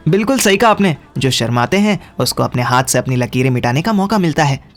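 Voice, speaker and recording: A man's voice: medium pitch, lively intonation, a little fast, natural voice. A man playing a cheeky, over-dramatic younger friend with theatrical delivery in a comic audio drama. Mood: mocking, theatrical. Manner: theatrical, swooping, mock-announcer delivery. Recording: music behind the voice.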